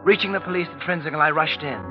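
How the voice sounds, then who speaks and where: Actor as urgent young gentleman. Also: fairly high pitch, fast, close to an everyday voice. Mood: breathless narration. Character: well-bred young Victorian gentleman, urgent and hot-headed. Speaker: lead actor and narrator of the story. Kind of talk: 1950s radio suspense drama acting. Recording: dull vintage radio recording, orchestral music.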